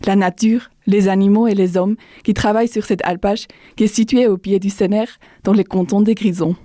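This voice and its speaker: A young woman student hosting a podcast discussion, speaking slowly and carefully. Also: medium pitch, fairly even intonation, dark tone, dry recording, clean studio sound. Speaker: host and student.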